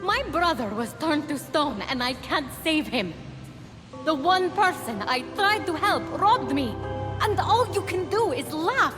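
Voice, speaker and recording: Young quest heroine, earnest, plucky, lively swooping pitch, video game acting, music behind. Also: female voice, unhurried. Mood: indignant.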